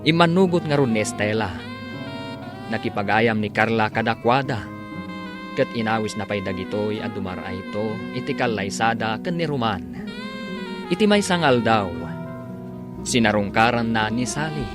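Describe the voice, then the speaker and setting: A man's voice: lowish voice, normal speed, long pauses. Theatrical Ilocano male announcer over music. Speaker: radio drama announcer and narrator. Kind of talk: dramatic scripted narration. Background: music under the voice.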